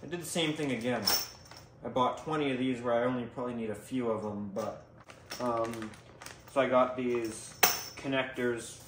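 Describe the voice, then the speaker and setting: In an echoey room with faint noise behind him, a man talks casually to his viewers. His voice is medium in pitch. He rambles informally through an unscripted show-and-tell, thinking aloud as he goes. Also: fairly even intonation, normal speed. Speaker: DIY video host.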